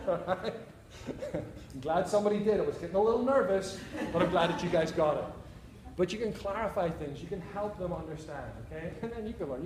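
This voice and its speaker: A man's voice: high voice, noisy background. A man with a Northern Irish accent giving a conference talk to teachers, joking and often checking in with "all right?" and "okay?". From Northern Ireland. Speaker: presenter. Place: conference room. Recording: echoey room, faint ambience.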